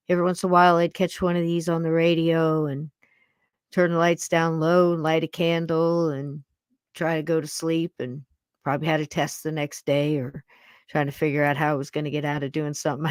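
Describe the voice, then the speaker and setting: A woman's voice: fairly low pitch, dry recording, studio quality. A woman in her sixties hosting a podcast, chatting casually and affectionately to listeners in a folksy, rambling way. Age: 60s. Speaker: podcast host. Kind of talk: casual chat to live listeners.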